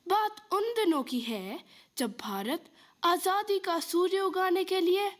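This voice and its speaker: Female narrator, high voice, slow dramatic declamation with lively rises and falls.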